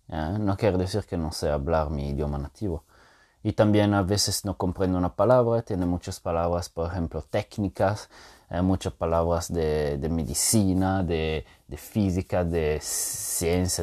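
A man with a lowish, mellow voice talks through a casual solo podcast. He pauses often on fillers and checks in with little tag questions, unhurried and conversational. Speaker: podcast host.

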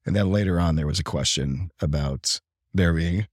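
Deep, husky man losing his voice. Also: no room sound, studio quality. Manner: halting, rambling. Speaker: livestream and podcast co-host. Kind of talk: casual gaming talk.

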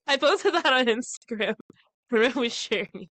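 A woman's voice: a little high, a bit bright, no echo. A young woman with a stuffy, congested voice from the flu, co-hosting a casual podcast, laughing often and talking loosely. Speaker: podcast co-host.

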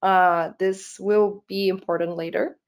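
A young Brazilian woman with a Portuguese-accented delivery speaks to a conference crowd. She is a little nervous, scattering fillers and restarts through her sentences and laughing often. Her voice sits at an ordinary middle pitch. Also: fairly even intonation, measured pace, dull audio. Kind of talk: conference talk.

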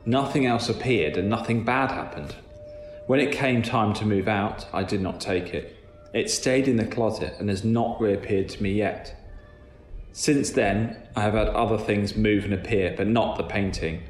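A man with a fairly low voice narrates a personal story from a script. The recording is roomy and echoing, with a faint layer of noise behind him. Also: normal speed. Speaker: reader of listener stories.

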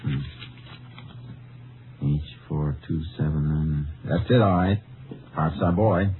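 A thin, band-limited old radio recording of a tough American lawman with a low voice. He is terse, hard-boiled and sure of himself, in classic 1950s radio drama style. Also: takes it slowly, phone-quality sound, faint music behind. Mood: firm, probing. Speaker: lead actor and narrator.

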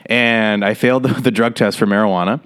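Rambling low-voiced American man. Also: steady tone, a little fast, a bit bright, clean tone. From Las Vegas, USA. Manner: rambling, swears casually, laughs mid-sentence. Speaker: guest comedian and actor. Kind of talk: casual interview storytelling.

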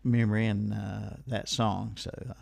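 A man's voice: on the low side, level tone, moderate pace, vocal fry, darkish tone, no echo. A man hosting local radio and reading ads in a folksy manner. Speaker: radio swap shop host and ad reader. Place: radio studio.

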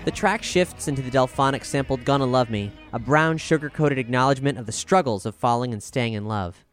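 A man with a smooth voice narrating a scripted music review as a voice-over. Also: mid-range voice, a little fast.

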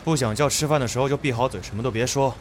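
An actor playing a cold, irritable young older brother in a modern radio drama, blunt and scornful. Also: male voice, mid-range voice, rapid-fire, close to an everyday voice. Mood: cold, irritated. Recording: clear rain-like background noise.

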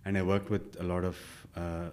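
A man's voice: low-pitched, little pitch movement, moderate pace, a little mellow. A young man from India, a guest in an interview, telling his story casually with many fillers and self-quotes.

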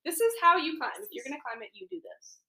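A woman's voice: very high voice, big pitch swings, fast talker, creaky, sharp tone. A woman in her twenties with a Wisconsin accent, co-hosting a casual chat in a playful, joking way. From Wisconsin, USA. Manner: playful, jokey, bursts of laughter. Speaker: podcast co-host.

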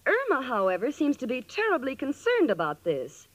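A woman's voice: high-pitched, huge pitch swings, normal speed, no room sound. An actress playing a sensible, wry young woman narrating a 1940s radio sitcom with affection. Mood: wry, affectionate. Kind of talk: 1940s radio comedy acting.

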